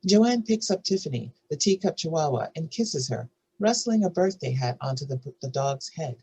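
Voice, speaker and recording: A woman with a husky voice narrating screenplay stage directions in a scripted reading. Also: sits low, big pitch swings, normal speed, warm tone, rough recording.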